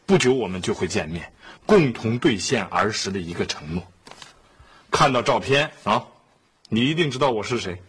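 A man playing a sardonic, excitable middle-aged husband and father in a family sitcom. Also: fairly low pitch, normal speed, breathy edge, heavily acted. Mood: theatrical reading. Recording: faint background noise.

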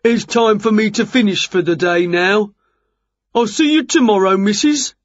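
A woman's voice: mid-range voice, moderate pace, highs cut off, lightly acted. A woman voicing a casual working man, a matey builder in a children's story, with colloquial, offhand talk. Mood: breezy.

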